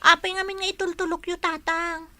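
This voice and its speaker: A woman's voice: very high voice, little pitch movement, fairly quick, pushed and bright, no echo, heavily acted. A woman playing an earnest, obedient young child of a village farmer in an Ilocano radio drama. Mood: worried, protesting.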